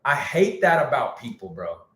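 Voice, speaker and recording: A man's voice: mid-range voice, big pitch swings, normal speed, a bit bright, echoey room. A man who produces music, talking casually on a live stream, slangy and candid, saying he is losing his voice. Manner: candid, slangy, repeats himself. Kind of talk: casual livestream rant.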